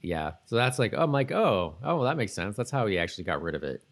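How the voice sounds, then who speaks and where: A man's voice: lowish voice, big pitch swings, fast. A middle-aged man co-hosting a casual podcast recap of a TV show, thinking aloud in a hesitant, rambling way. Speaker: podcast co-host. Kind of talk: casual TV episode recap chat.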